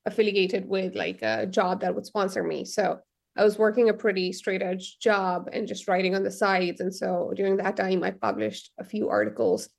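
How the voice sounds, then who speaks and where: Gravelly-voiced woman. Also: mid-range voice, normal speed. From Pakistan. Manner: thoughtful, many ums and restarts. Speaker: novelist, radio guest. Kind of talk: radio interview answers.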